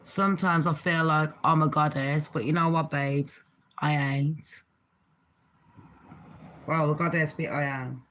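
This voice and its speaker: Deep-voiced blunt woman. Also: steady tone, measured pace, pauses often, a bit bright, a little hiss or hum. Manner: blunt, swearing, chatty with laughs. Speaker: channel host. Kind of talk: casual reaction commentary.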